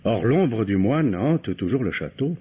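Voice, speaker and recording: Husky, low-voiced, formal bereaved count. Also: male voice, very animated, measured pace, mellow, heavily acted. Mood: subdued, hesitant. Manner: hesitant, formal, courteous. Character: count, grieving husband, writer, polite suspect being questioned. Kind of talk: old French radio mystery acting. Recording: dull vintage radio drama recording.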